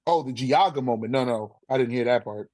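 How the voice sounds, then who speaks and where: A man's voice: mid-range voice, fairly quick, darkish tone, no echo. A man debating casually in profane slang, repeating his phrases for emphasis.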